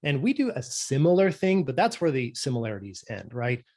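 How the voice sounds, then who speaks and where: A man's voice: mid-range voice, very animated, fairly quick, warm tone. Norwegian-born man explaining patiently. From Norway. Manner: explains patiently with frequent "right?" tag questions. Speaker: guest, company director. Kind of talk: conversational explaining.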